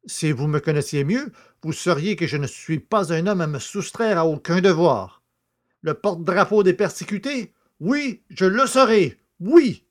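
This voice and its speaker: Man acting as naive poacher convict, higher male voice, plaintive, exclamatory, easily flattered, audio drama. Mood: proud, excited. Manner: plaintive, exclamatory, drawn-out words. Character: naive poacher prisoner, self-pitying, easily flattered, grandiose. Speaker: actor in an amateur audio drama.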